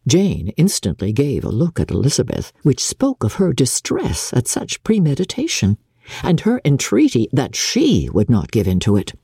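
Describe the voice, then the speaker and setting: A woman narrating classic literature as a dramatic reading, in a measured, expressive storytelling manner. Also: a bass voice, slightly rough, dry recording, clean studio sound. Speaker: audiobook narrator.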